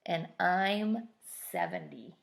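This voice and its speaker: Woman reading as a creaky, terse old grandfather. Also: fairly low pitch, big pitch swings, slow, exaggerated voice. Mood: deliberate. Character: old grandfather of seventy, terse storybook fairgoer.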